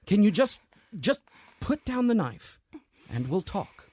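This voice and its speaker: A woman in an audio drama playing a nervous, placating figure who speaks in halting pleas. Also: mid-range voice, very animated, dark tone, dry recording, clean studio sound. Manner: halting, pleading. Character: alarmed, placating acquaintance facing an armed intruder.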